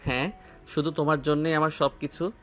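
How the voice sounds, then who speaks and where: A man playing an affectionate, playful young husband in a romantic audio drama, speaking in a tender, teasing way, with soft music underneath. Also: a little high, little pitch movement, a little fast, lightly acted.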